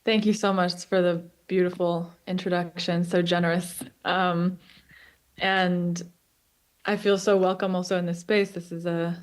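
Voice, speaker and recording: Twenties woman talking over a video call, clear smooth voice, lots of ums, thoughtful. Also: lowish voice, level tone, measured pace. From Israel, raised in the US. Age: 20s. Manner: thoughtful, many ums and restarts. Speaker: guest speaker. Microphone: remote video call.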